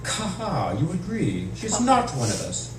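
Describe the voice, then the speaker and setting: A man's voice: fairly high pitch, huge pitch swings, measured pace, voice pushed up. A man voicing a tall, theatrical, menacing crow in a storybook reading, pointed and taunting, with a squawk. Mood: menacing, mocking. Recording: echoey noisy room, distant mic.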